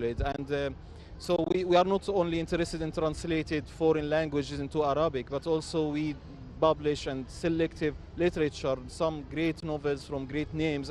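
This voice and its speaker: Man, measured publisher guest. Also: a little high, darkish tone, slight background noise. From Egypt. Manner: measured, pausing with fillers. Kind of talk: interview answers.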